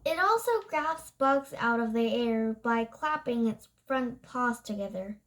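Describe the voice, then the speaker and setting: A child reading a nonfiction book aloud, haltingly, stumbling over and rereading words. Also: mid-range voice, animated, a bit bright, low-quality recording.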